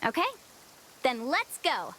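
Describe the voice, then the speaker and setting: A woman's voice: sits high, very animated, takes it slowly, fairly bright tone, slight background noise, exaggerated voice. A woman playing an upbeat, confident and caring young tour guide in a video game, encouraging in manner. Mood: rallying. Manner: encouraging, exclamatory.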